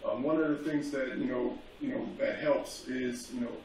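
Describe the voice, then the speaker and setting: A man's voice: mid-range voice, fairly even intonation, moderate pace, a little mellow. A man speaking as a guest on a panel, hesitant, with many fillers and restarts. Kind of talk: casual panel talk. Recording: echoey remote call, faint noise, far from mic.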